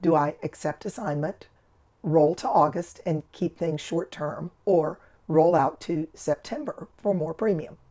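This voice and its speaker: A hoarse woman with a rough, scratchy voice, recovering from laryngitis, methodically walking through an investing trade as the channel's host. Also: deep voice, measured pace. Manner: methodical, steady explaining of trade steps and figures. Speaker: financial educator and channel host. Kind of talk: screen-recorded investing walkthrough.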